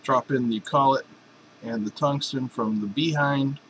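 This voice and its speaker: A man's voice: medium pitch, a little slow, background noise. A man in a home workshop explaining a welding torch swap in a casual, joking, folksy way. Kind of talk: casual workshop how-to narration.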